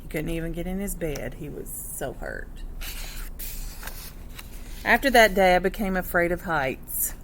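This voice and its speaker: A woman's voice: on the low side, a little slow, pauses often. Woman reading aloud to children, noisy recording. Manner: expressive, instructive, addressing young listeners with questions. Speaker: teacher reading a picture book aloud to children. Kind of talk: children's read-aloud with explanatory asides.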